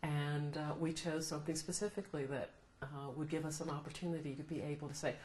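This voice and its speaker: A middle-aged American woman, a law professor, answering interview questions in a thoughtful, measured way. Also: low-pitched, steady tone, a little mellow. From United States. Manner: thoughtful, measured, laughs often. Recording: roomy echo, faint noise.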